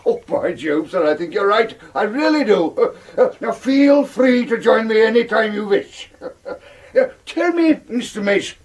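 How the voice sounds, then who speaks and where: An actor with a British accent playing a jovial, pompous villainous professor in a radio drama, chuckling, halting and over-polite. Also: male voice, high voice, lively intonation, darkish tone, breathy edge, exaggerated voice. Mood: amused, expansive. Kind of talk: period radio drama acting.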